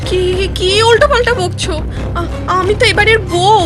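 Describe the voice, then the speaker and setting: A woman's voice: extremely high pitch, normal speed, over music. A woman voicing a flustered, indignant young bride in a cartoon, stammering as she protests her innocence. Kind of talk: exaggerated Bengali cartoon voice acting.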